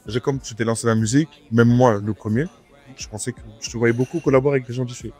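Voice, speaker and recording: Man, host, fast talker, mellow tone, background music. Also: medium pitch, steady tone, breathy edge. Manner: quick, casual, slangy questions. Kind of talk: casual interview chat. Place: restaurant.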